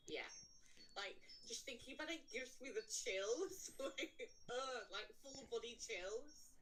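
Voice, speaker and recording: A woman hosting a casual spooky folklore show, chatting and telling stories in a measured way with pauses between phrases. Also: fairly high pitch, expressive, fairly bright tone, low-quality recording. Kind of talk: casual chat and storytelling.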